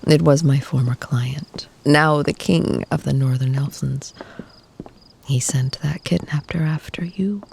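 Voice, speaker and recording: A woman playing a protective vampire lover in an ASMR roleplay, soft and breathy, often whispering, with formal, measured wording. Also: deep voice, slight background noise. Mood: concerned, tender. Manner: slow, soothing, doting, formal wording.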